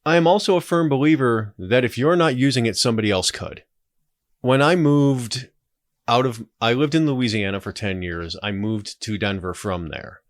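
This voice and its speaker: A man's voice: medium pitch, moderate pace, no room sound, clean studio sound. A man in his forties talking casually as a podcast guest, reflective and opinionated. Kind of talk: casual conversational interview.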